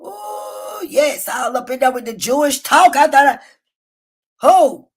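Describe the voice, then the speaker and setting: Loud profane woman streaming. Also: slow. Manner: loud, profane, animated storytelling. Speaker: livestream host. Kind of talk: casual livestream commentary. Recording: low-quality phone stream.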